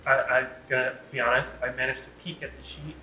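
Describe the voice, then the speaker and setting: A man's voice: medium pitch, flat delivery, quick pace, bright, forceful tone, roomy sound, soft background music. A man chatting casually as a co-host among shop staff during an unboxing. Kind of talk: casual chat. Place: indoors in an aquarium shop.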